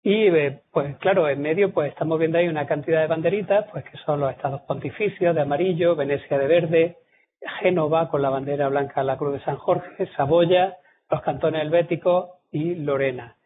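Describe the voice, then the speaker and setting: A man's voice: fairly high pitch, normal speed. A man with a southern Spanish accent from Córdoba giving a history lecture at an academy, talking conversationally with fillers and restarts. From Córdoba, Spain. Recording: tinny lo-fi audience recording with faint noise.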